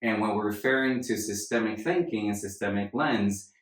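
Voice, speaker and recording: A man's voice: fairly low pitch, normal speed. A man from Puerto Rico, raised in Florida, co-hosting a podcast as a family therapist and explaining ideas with frequent "right?" checks. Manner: explanatory, many "right?" tags. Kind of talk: casual podcast chat. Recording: echoey room.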